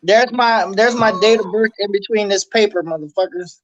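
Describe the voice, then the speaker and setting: A man's voice: high-pitched, normal speed, highs cut off, a little hiss or hum. A man, chatting on a live stream in a brash, teasing, slangy way. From New Orleans. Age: 40s. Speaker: co-host of a live chat stream. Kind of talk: casual live-stream chat.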